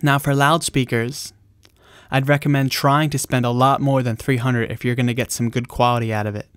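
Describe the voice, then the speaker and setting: A man's voice: medium pitch, fairly even intonation, brisk, a bit bright, clean tone, dry recording, studio quality. A man presenting an explanatory video tutorial in a plain-spoken, informal instructional way.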